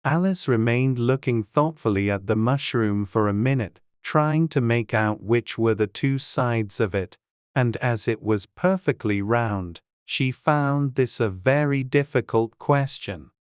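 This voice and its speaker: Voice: mid-range voice, measured pace, clean tone, no echo, studio quality. An audiobook narrator reading scripted storybook narration.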